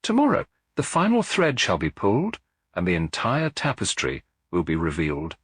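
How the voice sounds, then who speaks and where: A man voicing a shrewd, calm Belgian gentleman detective in a mystery audiobook, deliberate, courteous and theatrical. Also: deep voice, husky, dry recording, studio quality, full character voice. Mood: quietly probing.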